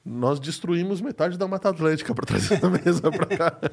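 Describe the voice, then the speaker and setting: A man's voice: a little high, animated, moderate pace, creaky. A Brazilian man hosting a podcast in a casual, informal, joking and slangy way. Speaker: podcast host. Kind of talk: casual chat.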